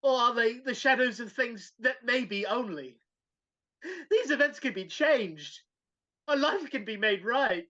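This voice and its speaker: A woman's voice: a little high, expressive, unhurried, pushed and bright. A woman playing an old reformed miser in an impassioned, theatrical live dramatic reading over a video call. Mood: pleading, fearful. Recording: dull video call audio.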